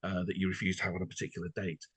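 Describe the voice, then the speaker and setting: A man with a very low, rough-edged voice interviewing a guest. He is courteous and halting, feeling his way through his questions. The sound is close and dry. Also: monotone, a bit bright, no room sound. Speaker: podcast interviewer. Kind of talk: conversational interview.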